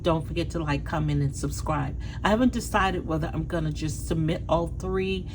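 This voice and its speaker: A woman with a hoarse, fading voice who gives a casual tarot reading in a halting way, with fillers and restarts. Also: deep voice, background noise. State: hoarse, losing her voice. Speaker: tarot reader.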